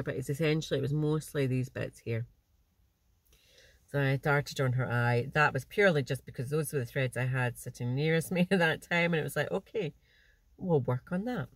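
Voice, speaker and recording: A nasal voice with a bit of a stuffy nose, rambling easily through a casual catch-up. The talk wanders, with false starts and small laughs, at a middling pitch. It is recorded in a parked car, close and dry with no room echo. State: slightly blocked nose. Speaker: cross-stitch vlogger. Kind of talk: casual chat to camera.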